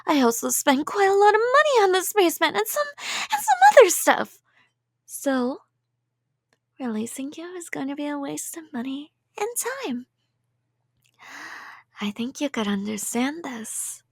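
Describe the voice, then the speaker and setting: A high, unhurried voice, very close and dry: an obsessed young yandere woman, performed by a voice actress in an ASMR roleplay. She rambles coyly, making threats sound sweet and affectionate. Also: very animated, no echo, full character voice. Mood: possessive, unhinged. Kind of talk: intimate ASMR roleplay acting.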